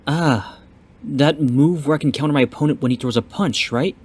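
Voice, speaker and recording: A man's voice: a little high, moderate pace, slightly rough. A man playing an eager, respectful young martial arts pupil, earnest and polite, in an audio drama. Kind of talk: fan audio drama acting.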